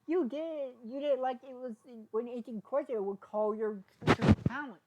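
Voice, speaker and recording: Young female cartoon pony performed by a woman: raised pitch, concerned, questioning, reproachful friend. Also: moderate pace, lo-fi sound, very noisy, natural voice. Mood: amazed.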